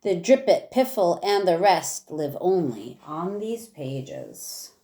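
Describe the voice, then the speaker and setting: A woman teacher reading a rhyming picture book aloud in a measured way, with casual asides. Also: lowish voice, very animated, dark tone, a little hiss or hum.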